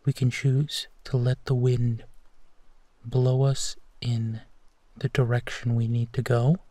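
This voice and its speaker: A man playing a gentle, protective werewolf who tenderly reassures his partner in an intimate bedtime roleplay, leaving long pauses. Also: medium pitch, no echo. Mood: reflective, soft. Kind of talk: intimate roleplay audio, soft bedtime comfort.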